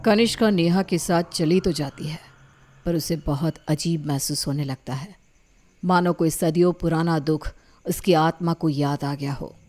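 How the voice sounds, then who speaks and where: A woman's voice: sits low, a little slow, darkish tone. A woman narrating a scripted cartoon horror story.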